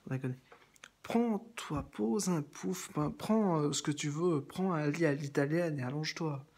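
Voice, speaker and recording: A man's voice: on the high side, unhurried, a little mellow, slightly rough. A man talking straight to one absent person in a long, meandering monologue, repeating himself and restarting often. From France. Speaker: video blogger addressing someone. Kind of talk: rambling direct-address monologue.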